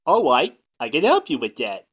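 A man's voice: mid-range voice, wildly swooping pitch, moderate pace, a bit bright, breathy edge, full character voice. A man voicing an oblivious, chipper cartoon tech-support agent with cluelessly polite customer-service patter. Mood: cheerfully unhelpful. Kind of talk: cartoon fandub acting.

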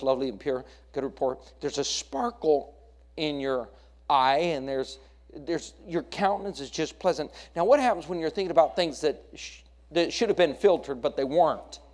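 A man's voice: on the high side, darkish tone. An American man preaching a sermon as a pastor, exhorting his congregation with questions, repeated phrases and emphatic lists.

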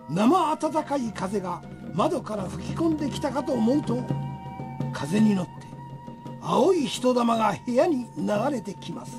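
A woman acting as an animated radio drama storyteller, telling a comic family ghost tale. The delivery swings widely in pitch, with music playing underneath. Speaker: narrator.

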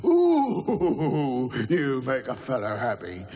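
A man doing a great lumbering burrowing monster from a storybook, pitched well above his own voice and swooping all over the place. His words come out slow and stretched, with the soppy eagerness of a giant who enjoys every poke and prod. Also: huge pitch swings, warm tone, in a large hall, full character voice. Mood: delighted. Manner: drawn-out, swooping delivery. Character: huge lumbering underground monster, male, soppy and easily pleased.

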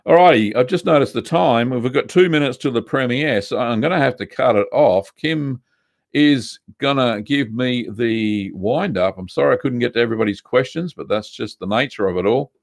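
An older man with an Australian accent, from South Australia, hosting a casual live question-and-answer stream from a home studio, chatty and relaxed with plenty of ums and uhs. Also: medium pitch, moderate pace, creaky. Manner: relaxed, chatty, many ums and uhs, reads chat aloud. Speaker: livestream host answering viewer questions. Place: home studio room with bookshelves, indoors.

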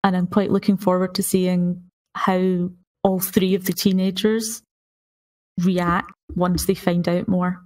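A woman's voice: lowish voice, little pitch movement, unhurried, darkish tone. A woman in a casual, unscripted discussion as a podcast panelist. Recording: slightly distant from the mic.

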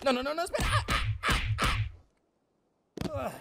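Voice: high voice, expressive, slow, gravelly, slight background noise, full character voice. A performer voicing a nervous, boastful young cowboy in a Latin American Spanish cartoon dub, jittery and bluffing. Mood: pleading. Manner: jittery, stammering, cocky bluffing. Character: nervous, boastful, fast-talking young cowboy, hapless fibber. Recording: music behind the voice.

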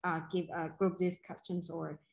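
A woman's voice: fairly low pitch, level tone, moderate pace, creaky, lo-fi sound, very noisy. A young woman moderating a webinar on a video call, speaking informally with loose phrasing. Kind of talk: casual moderation.

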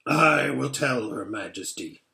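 A man voicing a menacing wolf who guards a witch's house, speaking low and distant in a storybook reading. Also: lively intonation, unhurried, gravelly, bright, forceful tone, reverberant, exaggerated voice. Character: menacing wolf, chief of secret police.

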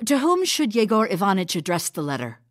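Female village healer, audio drama, played by an actress: firm yet caring, practical, lively pitch, dry close sound. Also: brisk, dry recording, natural voice. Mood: gentle, probing. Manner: steady, coaxing, practical.

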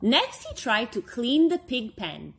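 High, lively woman reading a children's story. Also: vocal fry, breathy edge. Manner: expressive, deliberate phrasing for young listeners. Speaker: story time reader for children. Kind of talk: read-aloud children's storybook narration.

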